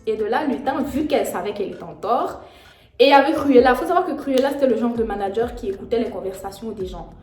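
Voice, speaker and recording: A young woman tells a story in a slangy, animated way, her voice a little high. Her voice rings in an echoey room. Faint music plays underneath. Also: fast. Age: young adult. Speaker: vlogger. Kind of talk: storytime vlog.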